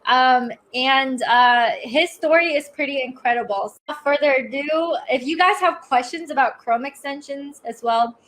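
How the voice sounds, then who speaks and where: A woman with a high voice hosts a live stream, greeting viewers and introducing her guest. Her talk is upbeat and chatty, full of "um" and "uh" as she goes. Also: moderate pace, hardly any pauses, fairly bright tone, a little hiss or hum. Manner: upbeat, chatty, many fillers. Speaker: host of a live online show.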